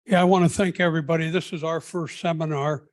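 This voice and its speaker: A man in the audience thanks everyone and then asks the panel a question. His voice sits somewhat high for a man. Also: steady tone, fast. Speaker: audience member asking a question. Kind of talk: question from the floor.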